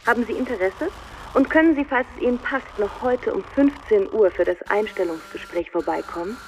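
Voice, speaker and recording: An actress playing a businesslike company secretary making a formal phone call in a radio drama, official and precise. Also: female voice, mid-range voice, brisk, darkish tone, slight background noise, lightly acted. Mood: formal, courteous.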